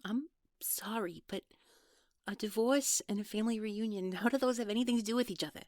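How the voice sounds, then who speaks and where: A woman's voice: mid-range voice, a little mellow, dry recording, lightly acted. Woman acting guarded, hesitant young woman, dry close sound. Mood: agitated, defensive. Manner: hesitant, halting, trailing off, nervous laughs. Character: guarded young woman, defensive, awkward, newly in love. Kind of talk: naturalistic one-sided audio roleplay acting.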